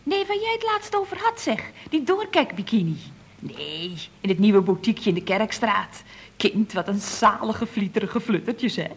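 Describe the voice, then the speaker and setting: Breezy, husky young secretary, swooping intonation. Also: female voice, medium pitch, very animated, moderate pace. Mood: gushing, breezy. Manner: gushing, chatty. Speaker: actress in a radio comedy serial. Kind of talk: Dutch radio comedy serial acting, around 1970.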